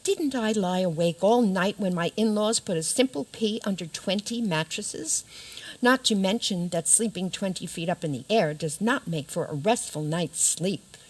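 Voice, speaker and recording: A woman acts out a vain storybook princess for a radio comedy. Her voice sits at a middle pitch. She is haughty and indignant, pressing her grievances formally. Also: normal speed, darkish tone, lightly acted, rough recording. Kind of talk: comic community radio theater.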